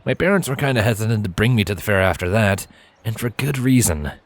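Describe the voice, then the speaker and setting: A man's voice: fairly low pitch, animated, fast talker, no room sound. A man voicing a bashful, imaginative young male pony in an audio story, sheepish and mildly defensive. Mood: amused. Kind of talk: fan fiction audio story character acting.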